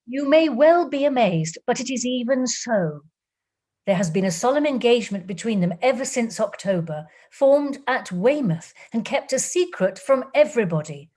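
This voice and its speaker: A woman reading an audiobook, voicing an anxious, gentle older woman who breaks startling news in a loud, trembling voice. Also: on the high side, animated, normal speed. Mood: earnest.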